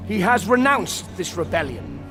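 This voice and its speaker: A high-pitched, proclaiming Roman officer. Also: male voice, lively intonation, a little slow. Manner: formal, declamatory. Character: formal Roman officer proclaiming a king's surrender. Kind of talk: cinematic game acting. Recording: music behind the voice.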